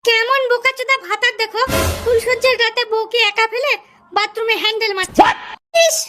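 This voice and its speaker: Squeaky, very high-pitched brash village bride. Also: female voice, steady tone, brisk, sharp tone, soft background music. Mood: scornful. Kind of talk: comic cartoon dialogue. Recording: noisy track with clicks.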